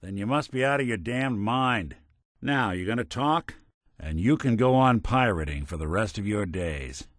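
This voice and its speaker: An actor playing a world-weary, wry but kindhearted android detective in a video game, with hardboiled old-fashioned slang. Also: male voice, on the low side, expressive, normal speed, dry recording, studio quality, lightly acted. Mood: stern.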